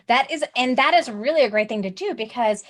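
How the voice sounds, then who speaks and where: A woman hosts an online tutoring session with bubbly, gushing enthusiasm. Her voice sits a little high, and her talk runs thick with filler words and excited asides. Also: fairly quick. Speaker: host of online tutoring masterclass. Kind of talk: enthusiastic webinar hosting.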